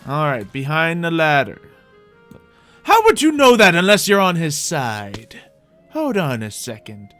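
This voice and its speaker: A man's voice: a little high, sing-song, unhurried, fairly bright tone. A man hosting a gameplay video with casual, rambling roleplay commentary, often repeating his own phrases as he talks to viewers. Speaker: host of a Minecraft roleplay video. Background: music.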